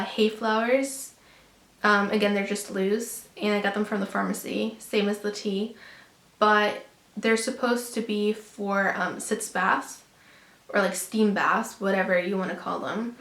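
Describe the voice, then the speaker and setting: Raspy-voiced young woman in an echoey room. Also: medium pitch, fairly bright tone. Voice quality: raspy from a lingering cold. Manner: chatty, with frequent ums and restarts. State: hoarse, getting over a cold. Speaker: vlogger sharing a pregnancy update. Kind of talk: casual chat to camera.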